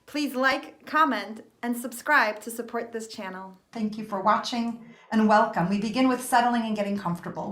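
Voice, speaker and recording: A woman leading a guided meditation as a yoga nidra teacher, speaking in a gentle, soothing, unhurried way with pauses. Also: on the high side. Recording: echoey room.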